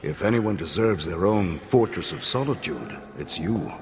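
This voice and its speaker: A man's voice: low-pitched, lively intonation, moderate pace. An actor playing a polite, kindly male professor in a cartoon, with a courteous manner.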